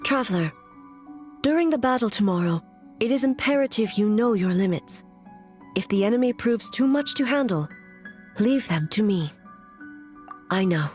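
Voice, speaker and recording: Almighty female warrior ruler for a game acted by a woman, mid-pitched, measured, solemn, music underneath. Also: animated, a little mellow, lightly acted. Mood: earnest, grave. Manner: formal, grave, measured. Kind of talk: scripted video game voice acting. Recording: music behind the voice.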